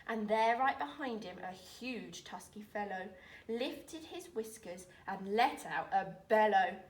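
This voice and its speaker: A woman reading a rhyming children's picture book aloud in an expressive, dramatic way, with deliberate phrasing. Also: medium pitch, slight background noise. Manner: dramatic, deliberate phrasing with emphatic swells and hushes. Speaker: storyteller reading aloud, teacher. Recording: roomy echo, distant microphone.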